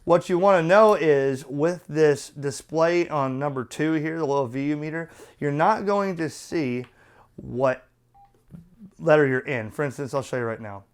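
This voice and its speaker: A man's voice: a little high, unhurried, clear, resonant tone. A man presenting a casual, unscripted hands-on product review, talking bluntly and colloquially as he explains things step by step.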